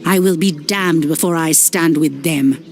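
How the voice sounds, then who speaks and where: A woman's voice: sits low, a little slow, slight background noise. A woman voicing a proud, fierce elf priestess in a game cutscene, with a scornful edge. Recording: voice over loud music.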